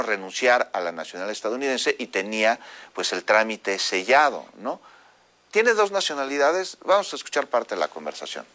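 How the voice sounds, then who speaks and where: Chatty Mexican radio news host. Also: male voice, medium pitch. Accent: Mexican Spanish. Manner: lively, chatty, reads listener messages. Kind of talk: morning news radio hosting.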